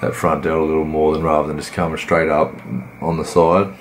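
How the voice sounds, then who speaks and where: Very deep croaky-voiced young man. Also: little pitch movement, fairly quick, a little hiss or hum. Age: 20s. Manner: laid-back, rambling, many fillers and restarts. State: croaky from a cold. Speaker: fitness vlogger. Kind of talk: voice-over workout commentary.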